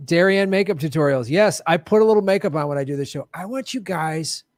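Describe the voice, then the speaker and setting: Male livestream host, slightly high voice, joking and self-mocking, dry close sound. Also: animated, brisk, no room sound. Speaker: host of a live political show. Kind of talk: casual livestream chat. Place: home studio with bright lights.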